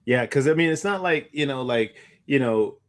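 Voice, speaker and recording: A man's voice: mid-range voice, expressive, moderate pace. A man co-hosting a podcast, chatting casually in informal, slangy speech. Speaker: podcast co-host. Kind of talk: casual chat.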